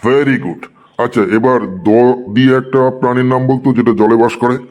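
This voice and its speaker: A man's voice: mid-range voice, flat delivery, a little fast, a little mellow, close to an everyday voice. A man voicing a stern, easily angered schoolteacher who scolds in a cartoon comedy. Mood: testing.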